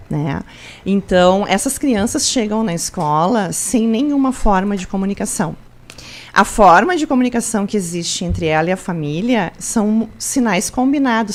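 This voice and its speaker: A woman from Brazil, a school principal, answering interview questions in an explanatory way, with frequent tag questions and restarts. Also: mid-range voice. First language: Portuguese.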